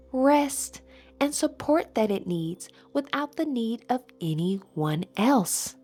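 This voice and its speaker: A woman leading a guided meditation and affirmations in a soft, breathy, soothing voice with measured, deliberate phrasing. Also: a little high. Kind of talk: slow guided meditation narration with spoken affirmations. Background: background music.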